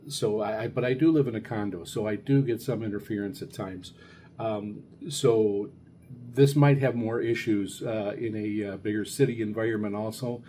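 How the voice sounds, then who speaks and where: Low-voiced man, halting, over background noise. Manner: halting, rambling, hesitant delivery. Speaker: radio hobbyist reviewer, show host. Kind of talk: casual unscripted product review. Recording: clear steady background noise.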